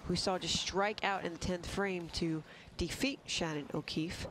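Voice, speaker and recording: A man with a fairly high voice explains bowling technique shot by shot, analytical and detailed. Clear background noise runs under him. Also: fairly even intonation, unhurried. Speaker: color commentator. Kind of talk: analytical sports commentary.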